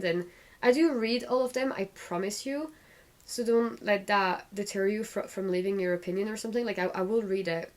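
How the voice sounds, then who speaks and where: Chatty woman knitting while she talks. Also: medium pitch. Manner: rambling, self-correcting, chatty. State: slightly croaky voice. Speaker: knitting podcast host. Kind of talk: casual knit-and-chat vlog.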